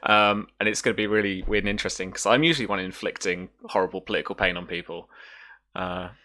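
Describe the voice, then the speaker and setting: A chatty host runs a tabletop roleplaying stream. The voice sits very low in pitch but has a bright, forceful edge. The delivery is casual and teasing, like talk among friends. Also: steady tone, fast talker. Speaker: host and game master. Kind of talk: casual livestream hosting.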